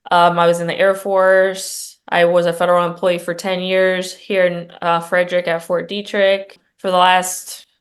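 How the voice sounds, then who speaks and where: A woman's voice: on the low side, level tone, normal speed, few pauses. A middle-aged woman from Puerto Rico, a congressional candidate speaking in an interview, earnest and conversational with frequent ums and restarts. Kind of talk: campaign interview.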